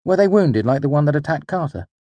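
A man's voice: on the high side, expressive, quick pace, warm tone, no echo, voice pushed up. A man voicing an indignant, theatrical young male fae creature, fussy and haughty, for a storybook. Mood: fussing, curious.

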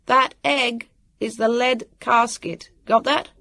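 A woman's voice: lowish voice, steady tone, measured pace, vocal fry, no echo, clean studio sound, pitched above the performer's own voice. A woman voicing an earnest, self-dramatising boy who speaks precisely and emphatically in a storybook reading. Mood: careful calling.

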